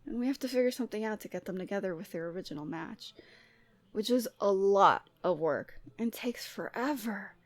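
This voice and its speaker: A woman playing a Cupid who is the listener's lovestruck best friend, in a conversational, expressive roleplay audio drama. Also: medium pitch, no room sound. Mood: explaining, wry. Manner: conversational, teasing, emotionally expressive.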